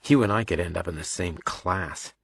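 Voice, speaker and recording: Role: sullen teen brother male narrator, low husky tone, defensive, evasive, audiobook drama. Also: normal speed, a bit bright, no echo, clean studio sound, heavily acted. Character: defensive, downcast teenage older brother.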